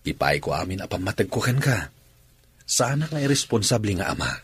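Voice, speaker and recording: An actor playing an earnest young suitor in an Ilocano radio drama. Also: male voice, lowish voice, sing-song, a little fast, fairly bright tone, slightly rough, dry recording, exaggerated voice. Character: earnest young suitor promising to marry and provide.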